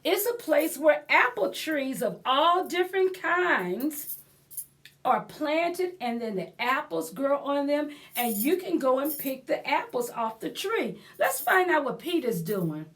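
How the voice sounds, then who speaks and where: Woman, children's storytime reader, high voice, lively swooping intonation, unhurried, expressive, chatty asides to young listeners. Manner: explains words and prompts young listeners. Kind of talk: children's picture book read-aloud with asides.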